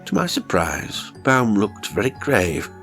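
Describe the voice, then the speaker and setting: A man's voice: fairly low pitch, very animated, takes it slowly, fairly bright tone. A man reading a first-person horror story as scripted narration, dramatic and suspenseful in his delivery. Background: music.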